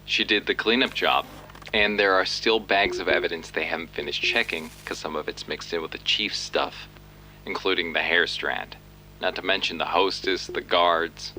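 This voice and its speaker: An actor playing a blunt, practical police colleague in an audio drama, grim, clipped and matter-of-fact. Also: male voice, low-pitched, normal speed, sharp tone, slight background noise, low-quality recording. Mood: urgent.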